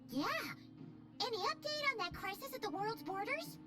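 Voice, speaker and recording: A woman voicing a small, excitable, childlike fairy companion in a video game, chatty and sassy, with squeaky exclamations. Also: normal speed, loud music behind the voice. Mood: grumbling. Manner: squeaky, exclamatory, refers to herself in third person. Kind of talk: video game character dialogue.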